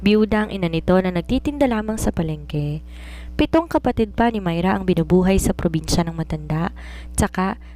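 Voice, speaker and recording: A woman's voice: on the low side, a little mellow. A woman reading a scripted romance story as its narrator.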